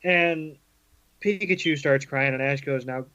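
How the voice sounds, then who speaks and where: A man's voice: mid-range voice, a little slow, sharp tone. A man co-hosting a podcast, retelling a story in casual conversation. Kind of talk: casual chat, episode recap. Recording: dull, lo-fi muffled audio.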